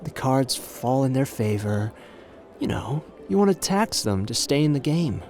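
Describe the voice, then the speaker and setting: A man's voice: medium pitch, very animated, vocal fry, a little mellow, soft background music. A man playing a suave, flirtatious, confident gambler in an ASMR roleplay audio drama, teasing and intimate in the way he talks. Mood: flirtatious, hushed. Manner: teasing, intimate, drawn-out phrasing with pet names.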